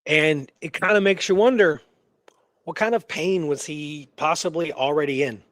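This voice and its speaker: A man with a slightly high voice hosts a conversational radio show. He reads notes deliberately, stressing the details, then drops into casual commentary. The sound is very dry, with no room to it. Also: fairly bright tone, no room sound. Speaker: co-host presenting a true story.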